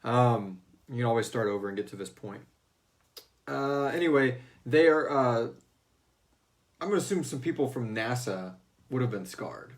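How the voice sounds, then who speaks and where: A man's voice: medium pitch, animated, moderate pace, pauses often. Male, American, mid-range voice; informal, joking livestream host fielding fan questions. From Houston, United States. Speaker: host of a fiction series fan Q&A, its writer. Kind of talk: casual livestream Q&A chat.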